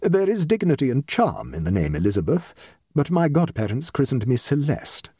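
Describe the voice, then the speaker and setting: A man voicing a shrewd, tactful society lady with a measured, dry and precise manner in a comic story. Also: medium pitch, sing-song, warm tone, breathy edge, no room sound, voice pushed up. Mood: wry. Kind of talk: comic short story reading.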